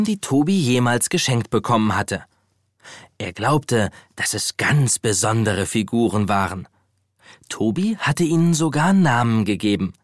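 A man's voice: medium pitch, no room sound, clean studio sound. A man narrating a scripted children's story as the storyteller of a radio play. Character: storyteller of a children's toy adventure.

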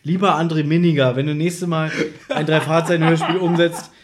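Slightly high-voiced, wry man. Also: little pitch movement, fairly quick, noisy background. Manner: teasing, ironic. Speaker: podcast co-host. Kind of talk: casual chat reviewing an audio drama.

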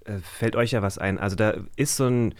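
A man's voice: on the low side, brisk, no room sound, clean studio sound. A man chatting casually as a guest on a show, in loose, informal slang with English words mixed in. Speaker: guest on an audio drama magazine show. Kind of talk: casual conversational talk.

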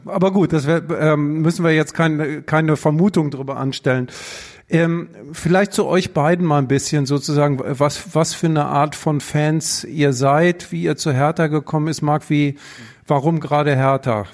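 A man's voice: a little high, steady tone, normal speed. A man hosting a live stage talk, asking questions with plenty of hesitant fillers. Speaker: host. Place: theater stage.

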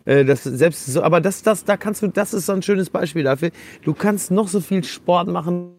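A man with a fairly high voice chats as a podcast co-host. He tells stories informally, with a joking, sarcastic edge. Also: normal speed, a little mellow, soft background music. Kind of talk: casual humorous football podcast chat.

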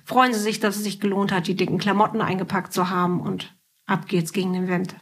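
A middle-aged woman hosting a podcast, talking in a casual, chatty and animated conversational way. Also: mid-range voice, a little fast, slightly rough. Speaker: podcast host.

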